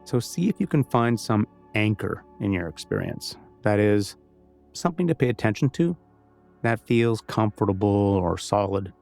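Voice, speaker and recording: Low-pitched, gravelly male meditation guide. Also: animated, normal speed, darkish tone, faint music behind.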